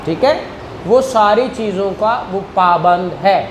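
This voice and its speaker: A man's voice: high-pitched, measured pace, poor audio. A man with a Pakistani accent lecturing as a law teacher, reading statute and explaining it step by step, often checking that listeners follow. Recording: clear background noise in the room.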